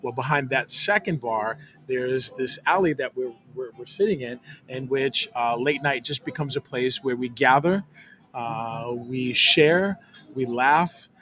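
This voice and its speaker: A man who works in hospitality, a podcast guest, telling stories in a measured, filler-heavy way, recorded outdoors in a back alley at night. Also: mid-range voice. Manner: warm storytelling, measured, many fillers. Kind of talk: casual chat.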